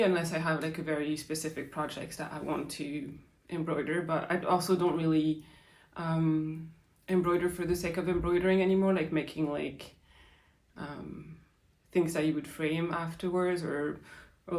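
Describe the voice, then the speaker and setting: A woman's voice: low voice, steady tone, normal speed. A young woman with a French accent hosting a podcast, answering listener questions in a casual, chatty way full of fillers. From France. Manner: chatty, lots of fillers and likes. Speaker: podcast host. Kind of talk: casual Q&A chat. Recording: roomy echo.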